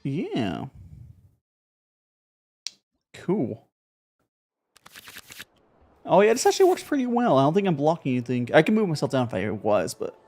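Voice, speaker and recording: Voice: medium pitch, wildly swooping pitch, unhurried, pauses often, darkish tone. A streamer talking casually while playing a card game, thinking aloud with many fillers and repeated words. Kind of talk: casual live gameplay commentary.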